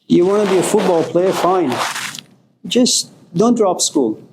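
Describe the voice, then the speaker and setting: A man's voice: high voice, lively intonation, measured pace, noisy background. Passionate middle-aged man lecturing. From Iran. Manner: passionate, swearing, rising to emphatic outbursts. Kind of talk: informal philosophy lecture.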